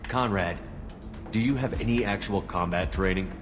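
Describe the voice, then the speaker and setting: Male actor for game's military hero: low voice, measured, level-headed and plain-spoken. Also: music in the background, lightly acted. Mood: calm, questioning. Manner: measured, matter-of-fact. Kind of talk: video game dialogue.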